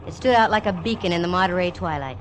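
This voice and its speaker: A woman's voice: lowish voice, animated, fast, very noisy, close to an everyday voice. An actress playing a sassy, wisecracking female private eye, with wry, punchy delivery in 1940s radio style. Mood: wry narration. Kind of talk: 1940s radio detective acting and narration. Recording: vintage radio broadcast with music behind.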